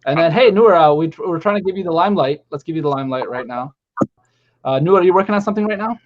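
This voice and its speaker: A man's voice: fairly high pitch, fast. A man hosting a casual chat on a video call, rambling and chatty. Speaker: stream host. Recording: lo-fi call audio.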